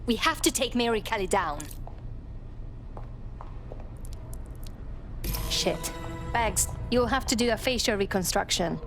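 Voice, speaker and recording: A woman's voice: on the high side, lively intonation, moderate pace, over music. A woman playing a direct, resolute underground hacker operative in a video game. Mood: grim. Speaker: resistance operative character.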